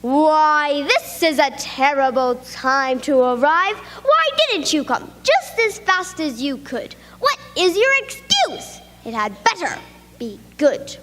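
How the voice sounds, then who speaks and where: A woman's voice: high voice, sing-song, slow pace, fairly bright tone, long reverb tail, exaggerated voice. A woman reading the part of a strict schoolteacher who scolds a late pupil. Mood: stern. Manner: stern, scolding.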